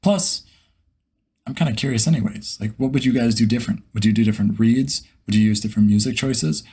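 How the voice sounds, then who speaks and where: Husky-voiced man chatting casually to viewers. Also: lowish voice, brisk, warm tone. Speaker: host, beginner voice actor. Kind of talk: casual vlog chat to camera.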